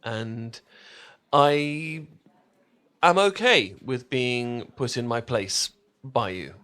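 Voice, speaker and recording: An affectionate English boyfriend voiced by a man for a romantic roleplay audio. He has a medium-pitched British voice and chats eagerly, stammering and doubling back on himself. He comes across as bashful, fond and very keen on his tea. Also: very slow, pushed and bright. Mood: sheepish, affectionate. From England. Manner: hesitant, stammering, conversational, enthusiastic.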